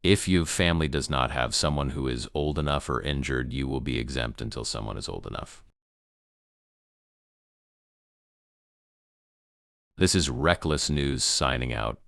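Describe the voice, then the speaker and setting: A man playing a grave emergency news broadcaster in an amateur apocalypse broadcast, gravelly, solemn and halting in his delivery. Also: rumbling low, a bit bright, no room sound, studio quality, exaggerated voice. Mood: somber. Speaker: news anchor.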